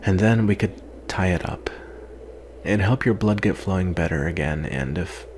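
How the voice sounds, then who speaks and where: A man playing a guarded, weary yet secretly tender vampire in an intimate ASMR roleplay, soft-spoken with halting pauses. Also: sits low, slight background noise, close to an everyday voice. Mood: gentle, hesitant.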